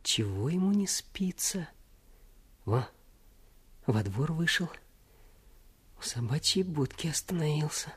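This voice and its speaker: A cautious, nosy young boy in a radio drama. He mutters hesitantly to himself at a slow pace, in a breathy voice that often drops to a half-whisper. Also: medium pitch, very animated, no echo, heavily acted. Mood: curious, furtive. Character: curious, cautious young boy spying and wondering aloud. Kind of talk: radio play monologue.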